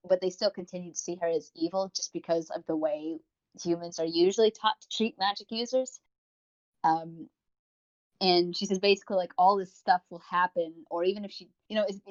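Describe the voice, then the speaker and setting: Lower-voiced woman, rambling, dull lo-fi audio. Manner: rambling, wordy, informal. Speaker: podcast co-host. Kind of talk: casual podcast commentary. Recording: dull lo-fi voice recording.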